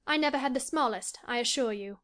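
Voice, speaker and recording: A woman voicing a bright, gracious young lady. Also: high-pitched, quick pace.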